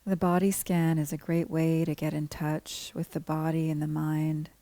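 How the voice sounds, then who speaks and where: A woman guiding a body scan meditation as a teacher, speaking calmly in measured, gently spaced phrases. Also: sits low, dry recording. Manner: calm, unhurried, gently measured phrasing with pauses. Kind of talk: guided meditation, scripted instruction.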